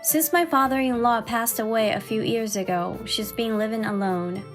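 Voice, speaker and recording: A woman voicing a forty-year-old housewife and crafts artist in a dramatized story, expressive and exasperated yet caring. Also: a little high, lightly acted. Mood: measured narrating. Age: 40s. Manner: expressive, indignant in dialogue, measured when narrating. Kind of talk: dramatized first-person story narration and dialogue. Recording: background music under the voice.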